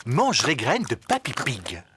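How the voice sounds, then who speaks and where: A woman's voice: rumbling low, wildly swooping pitch, unhurried, very noisy. A woman reading scripted narration for a young children's cartoon. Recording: loud music under the voice.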